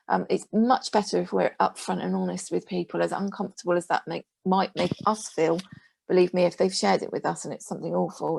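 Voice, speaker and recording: Female webinar presenter, mid-pitched with noticeable vocal fry, direct and reassuring advisory delivery, close dry sound. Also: a little mellow, no echo. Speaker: guest speaker, adult safeguarding professional.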